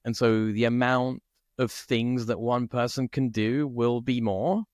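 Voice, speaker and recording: A man from Italy co-hosting a podcast, chatting casually and thinking out loud, often checking in with "right?". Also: medium pitch, steady tone, a little slow, dry recording, studio quality. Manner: thinks aloud, peppers speech with "like" and "right?". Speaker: podcast co-host. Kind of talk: casual conversation.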